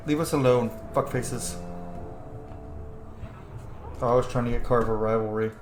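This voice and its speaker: A man's voice: mid-range voice, steady tone, moderate pace, over music. A man giving casual let's play commentary on a video game, chatty and sweary with frequent asides. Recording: echoey room with faint background noise.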